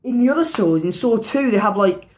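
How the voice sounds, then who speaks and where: A woman with a hoarse, worn-out voice, giving a casual movie review in a rambling way, swearing freely and repeating herself. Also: on the high side, expressive, fairly quick, darkish tone. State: hoarse, losing her voice.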